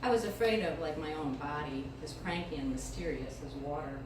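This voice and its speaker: A middle-aged American woman giving a live poetry reading with casual introductions, phrasing expressively and deliberately. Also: low-pitched. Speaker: featured poet reading at a poetry event. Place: indoor live event space, mic far from speaker. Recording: distant, echoey room recording with clear noise.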